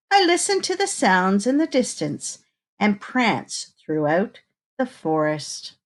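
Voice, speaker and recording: Lady doing a kids read aloud, takes her time, very up and down expressive voice, asks the kids questions. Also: mid-range voice. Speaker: teacher reading a picture book aloud to children.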